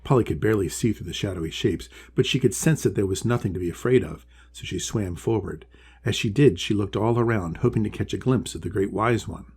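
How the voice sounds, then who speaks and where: A man's voice: on the low side, brisk, darkish tone, slight background noise. A man reading his own children's storybook aloud as scripted narration.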